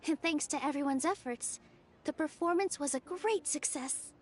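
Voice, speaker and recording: A woman's voice: high voice, moderate pace, slight background noise, lightly acted. A woman playing a cheerful, encouraging young woman in a video game, sweet, upbeat and earnest. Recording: game music under the voice.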